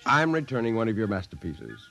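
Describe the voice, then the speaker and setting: A man's voice: lowish voice, wildly swooping pitch, fairly quick, vocal fry, pushed and bright, soft background music, heavily acted. A man playing a stern, hot-tempered army colonel and father in a 1950s radio drama, with a clipped, authoritative manner. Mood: curt, suspicious.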